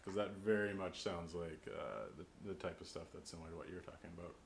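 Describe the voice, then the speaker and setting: A man's voice: sits low, quick pace. A man hosting a casual podcast discussion, thinking aloud as he builds up long questions. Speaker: podcast host. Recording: clear background noise.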